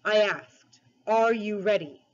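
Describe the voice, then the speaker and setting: A woman's voice: medium pitch, takes it slowly, natural voice. A great storybook lion played by a woman reader, grave and regal. He speaks formally and with authority, in a slightly dull, lo-fi recording. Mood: stern. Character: great solemn male lion king, commanding and wise.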